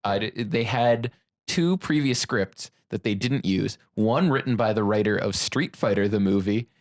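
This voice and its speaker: A man's voice: on the low side, moderate pace. A man co-hosting a podcast in a casual, conversational way, ready to argue his point. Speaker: podcast co-host. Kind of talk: casual film discussion.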